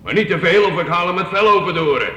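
An actor in a radio play, playing a haughty, threatening and impatient medieval lord who gives curt commands. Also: male voice, sits high, animated, fast, pushed and bright, muffled recording. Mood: commanding. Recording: echoey hall, noisy old radio recording.

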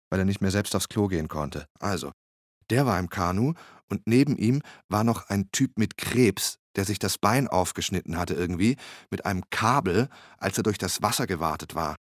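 Hurricane survivor, low voice, plain first-person storytelling, dry radio drama sound. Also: male voice, no echo. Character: male hurricane survivor, photographer, recounting his rescue.

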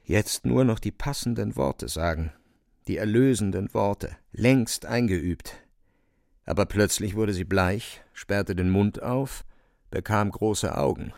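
Guy narrating a radio drama, low creaky voice, deliberate literary storytelling, close dry sound. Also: a little mellow, no echo.

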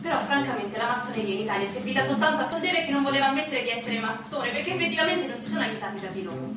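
A woman's voice: sits high, expressive, rapid-fire. An actress playing a banker's mature widow in a theatrical interview, guarded, defiant and bitterly loyal to her dead husband. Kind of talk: theatrical stage interview dialogue. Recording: very echoey, distant, music under the voice.

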